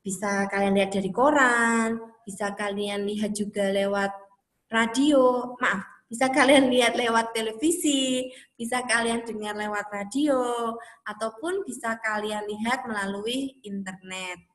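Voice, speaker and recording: A woman's voice: fairly high pitch, unhurried, a bit bright. A woman teaching a recorded school lesson, chatty and encouraging as she addresses her pupils directly. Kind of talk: recorded classroom lesson for children. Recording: echoey, roomy sound.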